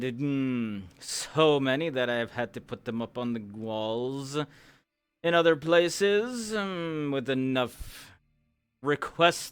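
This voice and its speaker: Man playing a slow, stern guild supervisor. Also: medium pitch, clean tone. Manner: deliberate, formal, with weighty pauses. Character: stern, formal male supervisor of fantasy adventurers, gravely cautioning recruits. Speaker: roleplayer. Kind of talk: improvised fantasy roleplay in character.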